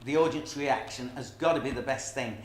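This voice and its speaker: A man's voice: medium pitch, a little fast, a little hiss or hum. A middle-aged man telling stories emphatically in a casual interview about his stage work. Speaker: pantomime dame performer. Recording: echoey room.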